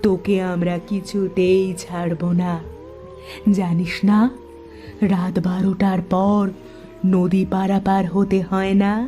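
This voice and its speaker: A woman playing a vengeful ghost woman in a cartoon, speaking very slowly and menacingly in a dark, mellow voice. soft music plays underneath. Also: medium pitch, flat delivery, close to an everyday voice. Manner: threatening, slow and deliberate.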